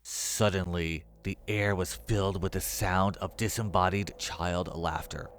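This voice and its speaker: Deep-voiced man narrating a horror story. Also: steady tone, a little slow, heavy background noise.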